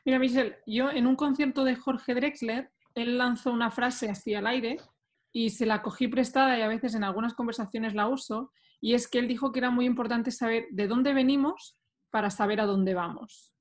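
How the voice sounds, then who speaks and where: A woman from Spain with a Spanish accent asks long conversational questions. Her voice sits a little high, and she keeps an easy interviewing tone. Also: steady tone, moderate pace.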